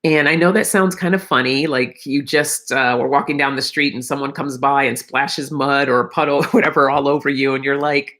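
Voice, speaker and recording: A woman's voice: rumbling low, steady tone, normal speed, few pauses, fairly bright tone. A middle-aged woman with a slightly froggy, tired voice, hosting a casual spiritual livestream and speaking reflectively and encouragingly straight to her viewers. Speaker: host of a live oracle card reading.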